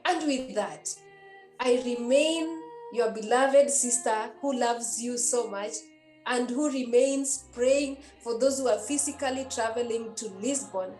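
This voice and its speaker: Nun, high voice, earnest and repetitive preaching to youth; roomy echo, faint music underneath. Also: female voice, a little slow, a little mellow. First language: a Kenyan language. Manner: earnest and repetitive, with many hesitations. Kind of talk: exhortation to young people.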